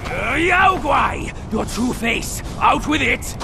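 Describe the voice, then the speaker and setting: An actor voicing a gruff, suspicious pig warrior in a fantasy video game, blustery and theatrical with archaic phrasing. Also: male voice, very high-pitched, animated, slow, music in the background, full character voice. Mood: suspicious, accusing.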